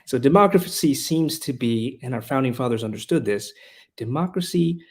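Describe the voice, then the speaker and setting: A man's voice: medium pitch, expressive, normal speed, husky. A man from Honduras, a guest on a podcast interview who teaches rhetoric and speaks earnestly and with passion, often restarting his phrases. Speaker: guest, classical school leader and rhetoric teacher.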